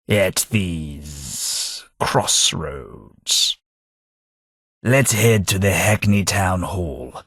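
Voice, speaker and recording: An actor playing a forgetful older gentleman in a game, speaking in a husky, measured and formal way. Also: sits low, no echo, studio quality, exaggerated voice. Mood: reflective. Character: forgetful older English gentleman companion, weary and reflective. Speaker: game companion character. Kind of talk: video game voice acting.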